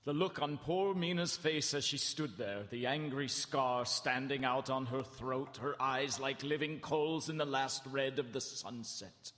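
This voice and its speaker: An actor playing a grave, haunted witness narrating a horror in a live radio drama. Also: male voice, medium pitch, unhurried, hardly any pauses, fairly bright tone, close to an everyday voice. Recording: live hall with long reverb.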